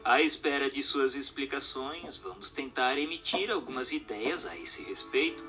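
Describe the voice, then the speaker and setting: A man's voice: medium pitch, normal speed, sharp tone, faint music behind. A man with a Brazilian accent reading a formal, didactic text aloud as scripted narration.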